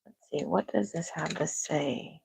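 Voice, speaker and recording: A soft-spoken woman reading tarot on a casual livestream at home, talking quietly to herself. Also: low-pitched, unhurried, gravelly, breathy edge, dry recording, rough recording. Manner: talks to herself, quiet and musing. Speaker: tarot reader. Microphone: clip-on microphone.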